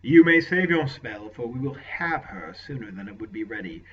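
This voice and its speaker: A man's voice: mid-range voice, expressive, normal speed, slightly rough. A man voicing a ruthless, scheming lord who speaks with commanding contempt in an audiobook. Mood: imperious scheming. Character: ruthless scheming male warlord lord, venomous and imperious. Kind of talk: audiobook character voice. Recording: lo-fi dull recording.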